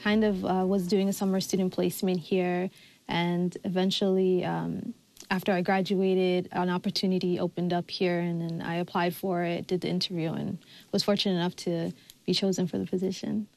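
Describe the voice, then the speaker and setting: A woman's voice: fairly low pitch, flat delivery, moderate pace, gravelly, a little mellow, no room sound. A young woman from Somalia who works as an HIV outreach coordinator, speaking thoughtfully with frequent fillers. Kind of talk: documentary interview and voice-over. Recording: voice-over with music underneath.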